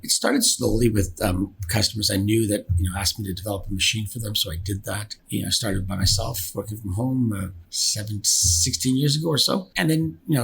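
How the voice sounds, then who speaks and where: A man's voice: lowish voice, gravelly, a bit bright, a little hiss or hum, low-quality recording. A man speaking casually as a company director in an interview, often repeating words and restarting phrases as he explains. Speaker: business founder and company director being interviewed.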